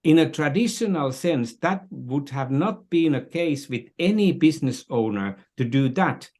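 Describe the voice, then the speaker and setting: A man's voice: a little high, animated, a little slow, a little mellow. An older man with a Finnish accent speaking as an interview guest, explaining things conversationally and often searching for words and repeating phrases. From Finland. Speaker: interview guest, business strategist.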